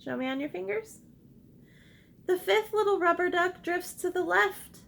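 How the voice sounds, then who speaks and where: A woman reading a picture book aloud to young children like a teacher, expressive and encouraging, pausing to ask simple questions and invite repeats. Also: very high voice, gravelly. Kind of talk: interactive children's read-aloud with call-and-response prompts.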